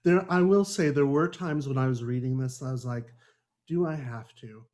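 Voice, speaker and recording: A man's voice: medium pitch, normal speed, darkish tone. A man hosting a book club podcast, chatting casually and joking in a wry, exasperated way. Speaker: podcast host.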